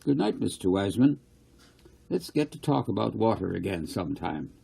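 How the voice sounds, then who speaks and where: Storybook schoolboy, read by a man: earnest, courteous, simply spoken, gravelly edge. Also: fairly low pitch, very animated, moderate pace, warm tone, exaggerated voice. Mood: polite, proud. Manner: brief, earnest replies. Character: earnest, polite young boy with asthma.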